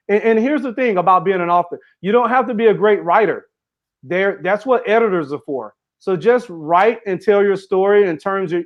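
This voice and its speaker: A man's voice: sits high, highs cut off. A man giving an earnest interview as a guest author and speaker, running his sentences together with fillers. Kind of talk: casual interview conversation.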